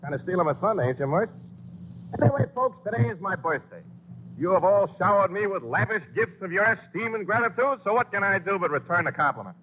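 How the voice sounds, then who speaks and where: A man's voice: high voice, animated, fast talker, slight background noise. An actor in a 1940s radio drama playing a cocky American vaudeville hoofer with breezy, slangy showman patter. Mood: showy, expansive. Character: brash, cocky, free-spending vaudeville hoofer. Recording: muffled 1940s radio with loud music.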